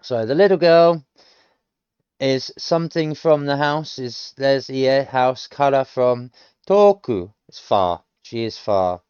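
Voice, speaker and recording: A man's voice: medium pitch, expressive, slow, darkish tone, clear, resonant tone, no room sound, muffled recording. Musing British man working through lessons. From United Kingdom. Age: late 30s. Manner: explains each answer step by step, musing, with frequent hesitations. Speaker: self-taught learner streaming. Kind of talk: thinking aloud while working through lessons.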